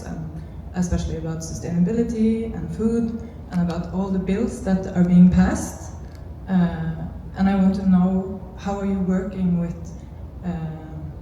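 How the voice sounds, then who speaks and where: A woman's voice: lowish voice, mellow. A woman with a Norwegian accent asking an unscripted question from the audience, hesitantly searching for words. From Norway. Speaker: audience questioner, teacher trainer. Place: large echoey room at a live panel event. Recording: echoey hall microphone, distant, faint noise.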